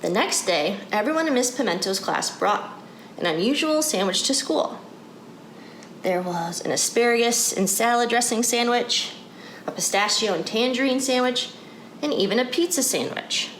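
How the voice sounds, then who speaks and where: A woman's voice: fairly high pitch, lively intonation, normal speed, roomy sound, poor audio. A woman reading a children's storybook aloud as a narrator. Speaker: story reader. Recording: clear background noise.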